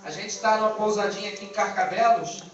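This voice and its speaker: High-voiced Brazilian-accented male preacher, echoey recording. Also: fairly quick, dull audio, background noise. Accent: Brazilian Portuguese. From born in Angola, lived in Brazil. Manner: colloquial, exhortative, rhetorical questions and repetition. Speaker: pastor preaching to a church. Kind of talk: informal sermon. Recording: echoey distant band-limited live stream, music behind.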